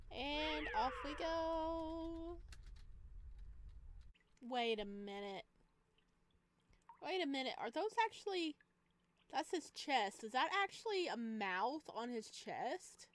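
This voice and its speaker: A woman doing casual, excitable let's play commentary and reading a visual novel aloud. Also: sits high, takes it slowly, long pauses. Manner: expressive, excitable. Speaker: gaming video creator.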